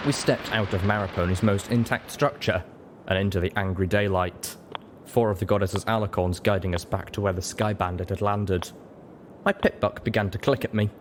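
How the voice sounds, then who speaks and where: A man's voice: on the low side, fairly even intonation, normal speed, background noise. A man narrating a story in a dramatic audiobook style, as its reader.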